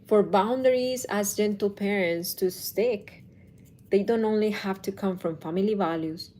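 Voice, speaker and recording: A woman's voice: mid-range voice, warm tone. A woman, likely in her thirties, talking to camera as a parenting coach in a thoughtful, casual way with restarts. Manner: slow, thoughtful, with restarts and self-corrections.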